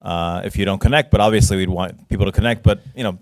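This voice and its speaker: A man with a deep voice speaks fast and direct at a city workshop. The sound is dry and close. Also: darkish tone, no room sound. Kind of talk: public meeting discussion.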